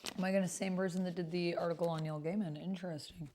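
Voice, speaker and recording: Woman, slightly low voice, casual chatty livestream host at a computer. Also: fairly even intonation, fast, a little mellow, a little hiss or hum. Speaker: streamer hosting an actual play.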